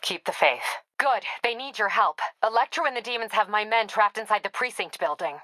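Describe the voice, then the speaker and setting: Game role, a no-nonsense female police captain: forceful, dry-humored, clipped delivery, very close and dry recording. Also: medium pitch, expressive, fairly quick, no echo. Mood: firm, driven. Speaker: video game voice actor. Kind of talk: dramatic video game dialogue.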